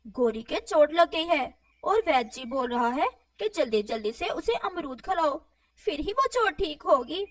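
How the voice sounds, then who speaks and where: A woman's voice: high voice, big pitch swings, a little slow, no echo, distant mic. A woman voicing a sly, greedy male crow in a children's cartoon, with a wheedling, pleading manner. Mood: urgent pleading. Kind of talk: animated children's moral story dialogue.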